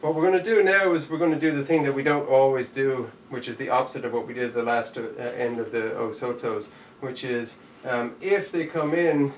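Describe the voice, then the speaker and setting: A man teaching a live online jujitsu class, calling out each step as he demonstrates it. His voice sits at an ordinary middle pitch and bounces around an echoey room. The camera mic is a few steps away, and faint background noise sits under him. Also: brisk, hardly any pauses, far from the mic. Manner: demonstrating moves while talking, counting out steps. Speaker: jujitsu instructor.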